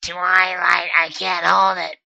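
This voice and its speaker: A man's voice: high voice, little pitch movement, slow, creaky, sharp tone, dry recording, voice pushed up, heavily acted. A man doing a brash tomboy pony girl. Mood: strained. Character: brash tomboyish young female pegasus pony. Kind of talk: amateur fan fiction reading.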